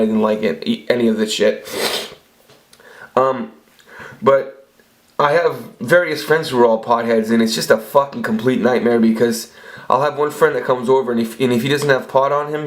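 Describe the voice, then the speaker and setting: A man in his forties telling crude, rambling stories in a casual monologue, swearing often and restarting his sentences. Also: medium pitch. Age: 40s. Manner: crude, profane, rambling storytelling with frequent restarts. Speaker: vlogger.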